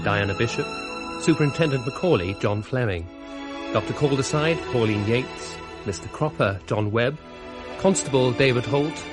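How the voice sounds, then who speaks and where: A man announces the cast and credits in a measured, formal tone. His voice sits at an ordinary pitch. Music plays clearly beneath him. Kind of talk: reading closing credits. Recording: music playing underneath.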